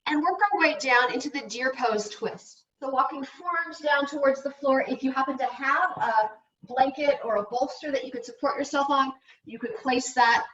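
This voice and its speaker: A woman yoga instructor leading slow guided breathing and meditation in soothing, unhurried short phrases with long pauses. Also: sits high, fairly bright tone. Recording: dull, echoey room, distant mic.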